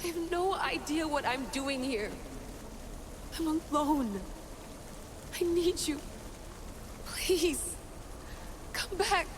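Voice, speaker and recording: A woman's voice: sits high, slow, pauses often, noisy background. A woman playing a defiant, headstrong young female assassin with emotional intensity in a video game cutscene. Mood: frightened, pleading.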